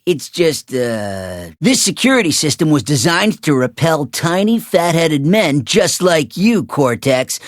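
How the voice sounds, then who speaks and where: A man voicing an excitable, boastful island villager in a cartoon video game, with dramatic, animated delivery. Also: fairly high pitch, no echo, studio quality, full character voice. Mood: sheepish salesmanship. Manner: animated, hesitant asides, dramatic outbursts.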